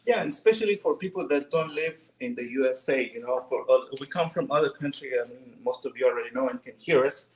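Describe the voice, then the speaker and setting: A man's voice: mid-range voice, fast talker, hardly any pauses, rough recording. A young man from Mexico with a Mexican Spanish accent, chatting casually and rambling a little about running a small decal business. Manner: relaxed and rambling, many fillers and restarts. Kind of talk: casual tutorial chat.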